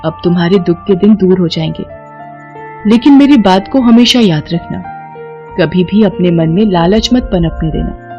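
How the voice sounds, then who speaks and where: A woman voicing a benevolent, reassuring celestial fairy with a measured, solemn manner in an animated fairy tale, music behind. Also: lowish voice, highs cut off, lightly acted. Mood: kind, solemn.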